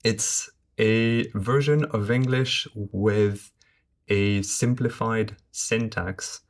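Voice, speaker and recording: Low-voiced man. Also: steady tone. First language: French. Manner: measured, explanatory. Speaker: guest translator. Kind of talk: conversational podcast interview.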